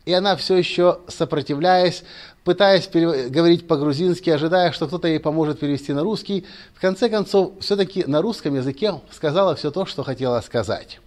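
Brief: motivational speaker, male, slightly high voice, earnest lecturing tone, restarts mid-sentence. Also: a little mellow. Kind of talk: direct-to-camera monologue.

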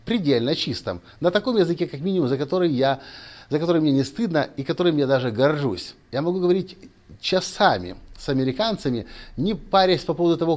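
A man's voice: fairly high pitch, darkish tone. A man speaking straight to camera as a motivational speaker, earnest and lecturing, often restarting his sentences. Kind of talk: direct-to-camera monologue.

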